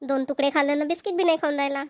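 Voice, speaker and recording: A woman performs a village woman from a Marathi cartoon comedy with a notably high voice. She speaks in a rural Vidarbha accent and a colloquial, homely way. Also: fairly even intonation, rapid-fire, no echo, studio quality, lightly acted. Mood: motherly. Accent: Maharashtrian, rural Vidarbha Marathi. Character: young village women, mothers and nagging wives in a comedy.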